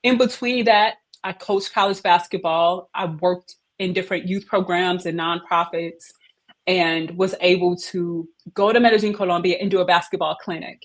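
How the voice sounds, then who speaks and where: Animated woman telling her story. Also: on the low side, normal speed. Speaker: head of operations, interview guest. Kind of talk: casual interview conversation.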